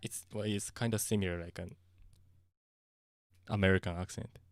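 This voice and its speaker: Low-voiced man with a Japanese accent. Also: steady tone, no echo, studio quality. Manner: halting and searching for words, with frequent soft laughs. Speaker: streamer. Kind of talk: casual livestream chat.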